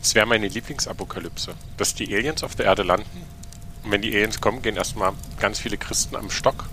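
Bright-voiced joking man. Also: on the low side, a little fast, a little hiss or hum. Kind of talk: casual chat.

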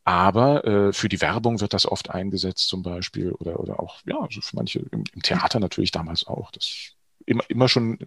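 A middle-aged man, a professional voice actor and news speaker, talking as an interview guest in a lively, expressive way with frequent hesitations. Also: low voice, fairly quick, gravelly, husky, dry recording, clean studio sound.

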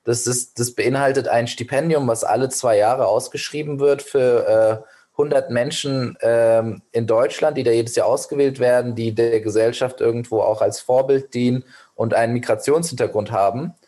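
A man's voice: mid-range voice, fairly even intonation, moderate pace, dark tone. A young man in his twenties, a startup founder, guest on a podcast, giving long earnest answers full of fillers and restarts. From Germany. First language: Persian. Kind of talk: podcast interview.

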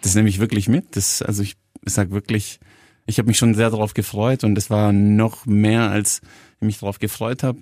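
A man's voice: lowish voice, little pitch movement, normal speed, a little mellow, no room sound, studio quality. A man co-hosting a podcast in a casual, conversational style. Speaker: podcast co-host.

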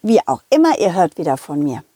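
A woman telling a children's story in a gentle, deliberate way, speaking to the young listeners. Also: on the low side, sing-song, slightly rough, no echo, studio quality. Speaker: puppeteer and storyteller.

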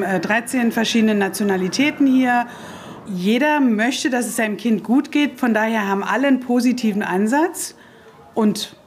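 A woman's voice: fairly high pitch, slight background noise. A woman in her fifties who runs a daycare centre, giving rambling, self-correcting answers with a wry touch. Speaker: daycare director. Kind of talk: interview answers.

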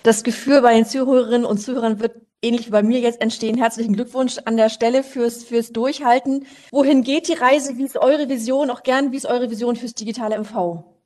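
A woman's voice: fairly high pitch, steady tone, fairly quick, a little mellow. A woman hosting a conversational interview, encouraging her guest and addressing the listeners directly. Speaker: interviewer, podcast host.

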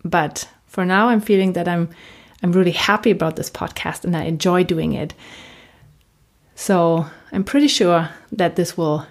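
A woman podcast host with a German accent and a slightly low-pitched voice. She is a native German speaker who works as a coach, and she talks directly to her listeners in a conversational solo presentation. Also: steady tone, normal speed.

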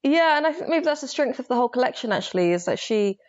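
A woman from Somalia speaking thoughtfully in a casual book conversation, pausing and restarting as she reflects. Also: sits high, lively intonation, a little mellow. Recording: slightly dull lo-fi sound.

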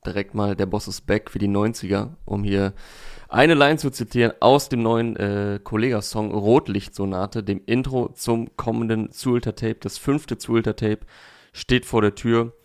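A man hosting a casual music review podcast, a little hoarse, chatty, with frequent fillers. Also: lowish voice, moderate pace. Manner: relaxed, talkative, with frequent fillers. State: slightly hoarse. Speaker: podcast host.

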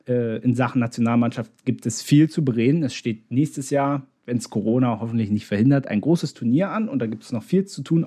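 A man's voice: medium pitch, dark tone, echoey room. A man born in Berlin, hosting a casual football podcast, talking in a chatty way with frequent restarts and fillers. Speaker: podcast host.

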